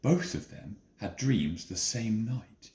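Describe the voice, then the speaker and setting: Slow, animated male storyteller. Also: lowish voice. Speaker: narrator, Bible reader. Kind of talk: dramatic scripted storytelling. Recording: echoey room, faint noise and clicks.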